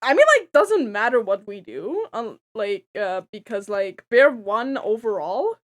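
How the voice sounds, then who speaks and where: Young woman from Estonia, somewhat high voice, hesitant and jokey on a call. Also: very animated. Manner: halting, many fillers and restarts, joking. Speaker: podcast co-host. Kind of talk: casual chat among friends. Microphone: own mic on a voice call.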